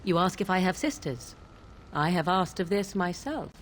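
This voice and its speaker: An actress playing an aloof, sardonic young witch of the wilds in a fantasy video game, with formal archaic diction and a deliberate, theatrical delivery. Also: fairly low pitch, lively intonation, a little hiss or hum, lightly acted. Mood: wry, guarded.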